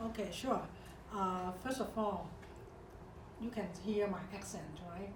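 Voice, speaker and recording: Hong kong accent woman, simple wording, lots of uhs, roomy room, mic a bit far off. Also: mid-range voice. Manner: plain, simple phrasing with frequent "uh" pauses. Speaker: company executive. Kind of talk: corporate training talk. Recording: roomy, from off-mic, with background noise.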